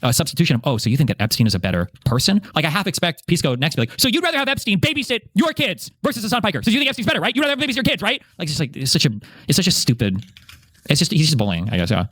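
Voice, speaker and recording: A man's voice: on the high side, sing-song, rapid-fire, a bit bright, breathy edge, no echo. A man giving casual political commentary in an argumentative, exasperated manner.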